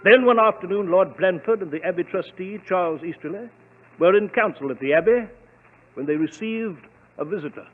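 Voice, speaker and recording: An actor with a British accent playing a genial storyteller, narrating a scripted 1940s radio drama. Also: male voice, high voice, a little fast. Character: genial English storyteller recounting a mystery. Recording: echoey, noisy, tinny old radio recording.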